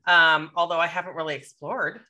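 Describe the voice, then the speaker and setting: A woman's voice: sits low, fairly even intonation, brisk, pushed and bright. An American woman interviewing casually as a podcast host.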